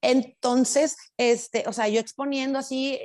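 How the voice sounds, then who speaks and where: A woman from Sinaloa, Mexico, with a Sinaloan accent, talking frankly and with lots of slang in a casual conversation. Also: high-pitched. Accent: Sinaloan Mexican Spanish. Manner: fast, animated, slangy and frank.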